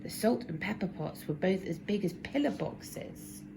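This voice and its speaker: A woman narrating a children's story expressively, with deliberate, dramatic emphasis. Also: mid-range voice, animated. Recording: noisy amateur recording, clear background noise.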